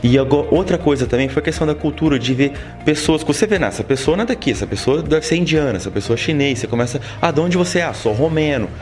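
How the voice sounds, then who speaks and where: Fast-talking man, interior São Paulo accent. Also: a little high, faint music behind. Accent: interior São Paulo Brazilian Portuguese. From Brazil. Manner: storytelling, lively, many "sabe?" tags. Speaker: interview guest. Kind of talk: casual interview talk. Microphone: handheld mic held by interviewer.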